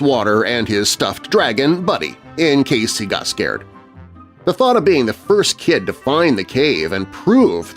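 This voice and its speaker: Animated male spooky-story narrator. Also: on the low side. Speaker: narrator of children's horror podcast. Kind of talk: dramatic scripted story narration.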